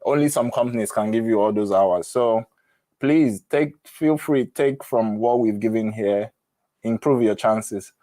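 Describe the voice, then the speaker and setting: A man's voice: on the low side, a little slow, dark tone, no echo. A middle-aged man with a Nigerian accent, chatting frankly and casually as he hosts a live advice session and tells stories. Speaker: host of a live Q&A on moving to the UK.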